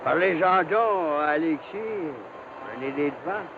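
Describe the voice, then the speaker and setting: Thin, phone-like old television sound with clear noise surrounds a folksy Quebec countryman. His voice is high for a male, and he speaks in an excitable, exclamatory rural manner. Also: slow pace, exaggerated voice. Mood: eager, folksy. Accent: Quebec French. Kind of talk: 1950s French Canadian television drama acting.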